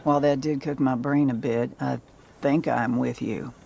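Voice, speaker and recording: A tough bounty hunter from a video game. Her delivery is dry and deadpan, with a wry, unimpressed edge. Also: a bass voice, moderate pace, vocal fry, slightly rough, exaggerated voice. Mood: dry, puzzled. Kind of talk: video game character acting.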